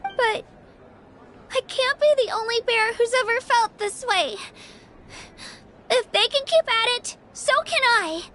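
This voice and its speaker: A woman's voice: extremely high pitch, unhurried, long pauses, sharp tone, a little hiss or hum, full character voice. A woman voicing an earnest, emotional young girl adventurer, determined but easily moved, in a video game. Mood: tearful resolve. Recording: music behind the voice. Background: music.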